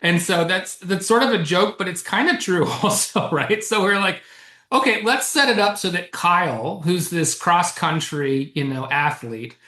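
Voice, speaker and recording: Animated author guest in a roomy room. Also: male voice, high-pitched, measured pace. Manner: animated, chuckles often, explains at length. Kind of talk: casual interview chat. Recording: roomy echo.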